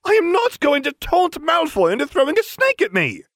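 A shrill, bookish teenage girl snaps back in exasperation, as a man reads her part. Her pitch climbs high and leaps around, and the voice sits close and dry. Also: wildly swooping pitch, a little fast, no room sound, exaggerated voice. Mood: snapping. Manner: snappish, exasperated.

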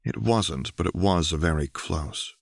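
A man's voice: deep voice, takes it slowly, vocal fry, no echo, clean studio sound. A man reading a fiction audiobook as narrator, in dramatic scripted narration.

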